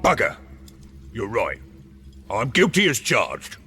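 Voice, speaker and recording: An actor playing a surly, sarcastic alien captain in a radio comedy, blustering and grumbling. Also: male voice, fairly low pitch, wildly swooping pitch, takes it slowly, crowd noise, exaggerated voice. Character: surly, destructive male Vogon alien captain, sarcastic and petulant.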